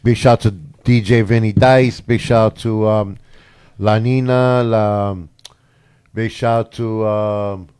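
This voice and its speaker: A man co-hosting a casual talk show, giving shout-outs and telling a story about working as a DJ. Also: lowish voice, steady tone, slow pace, smooth. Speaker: co-host and DJ. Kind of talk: casual podcast chat.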